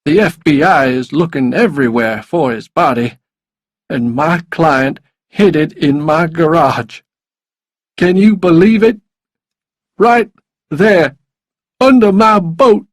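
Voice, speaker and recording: A man voicing a desperate, drunken, bitter lawyer in loud, forceful outbursts for an audiobook. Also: a little high, slow, long pauses, no room sound, clean studio sound, pitched above the performer's own voice. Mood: agitated, bitter. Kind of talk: audiobook character voice.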